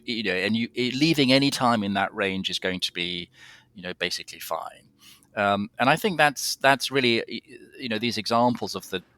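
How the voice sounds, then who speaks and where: A man's voice: fairly low pitch, fairly bright tone, dry recording. A British man speaking as an interview guest in a conversational philosophical discussion, with hesitant, stammering restarts. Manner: hesitant, stammering restarts, explains through everyday examples.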